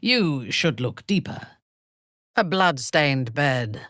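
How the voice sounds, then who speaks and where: A woman playing an imperious, ruthless dark elf warrior in a video game, speaking in a haughty, deliberate, formal manner with cold menace. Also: low voice, big pitch swings, no echo, clean studio sound, exaggerated voice. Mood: dry, haughty.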